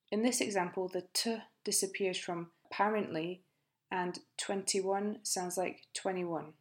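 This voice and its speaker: A woman with a British accent talks through English listening clips at a careful, measured pace. Her delivery is clear and explanatory, like a scripted lesson. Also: lowish voice. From Britain. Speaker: English pronunciation teacher.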